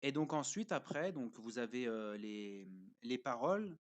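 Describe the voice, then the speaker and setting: A man of middling pitch talks through a review in a loose, unscripted way. He often stops on fillers and walks the listener through each step as he goes, like someone showing things on a screen. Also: animated. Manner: hesitant, pauses often with fillers. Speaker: parent reviewing a learning platform. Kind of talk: casual spoken review.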